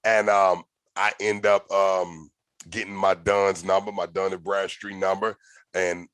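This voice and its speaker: Animated low-voiced male podcast host. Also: unhurried, clean tone, dry recording. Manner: animated, profane storytelling with slang. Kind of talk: casual podcast conversation.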